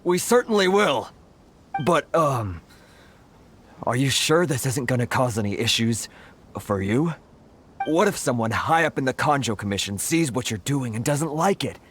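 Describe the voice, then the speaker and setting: An actor voicing a worried foreign merchant in a game, who sighs often and sounds apologetic. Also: male voice, mid-range voice, animated, normal speed, a bit bright, a little hiss or hum, lightly acted. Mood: anxious. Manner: fretful, sighing and apologetic. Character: anxious foreign merchant, sighing and put-upon. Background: game music.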